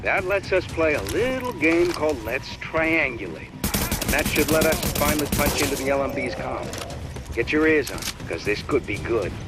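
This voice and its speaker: A man playing a gruff, sardonic, bossy tech operator in a video game. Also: fairly high pitch, very animated, moderate pace, noisy background. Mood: wry, eager. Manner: blunt, sardonic, commanding. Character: gruff, bossy, foul-mouthed male tech operator on comms.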